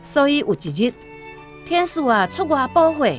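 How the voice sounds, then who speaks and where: A Taiwanese woman narrating a literary radio drama in a storytelling style, over soft music. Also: high voice, sing-song, normal speed.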